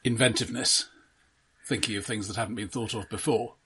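A man co-hosting a casual film discussion, giving his opinions in a deliberate, analytical way. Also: on the low side, fairly even intonation, over a noisy crowd. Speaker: podcast co-host.

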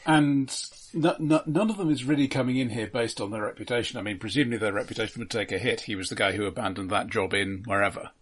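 A man with a fairly low, husky voice offers analysis as a podcast co-host. He speaks casually but haltingly, often doubling back on words while he shapes a point. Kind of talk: casual analytical film discussion.

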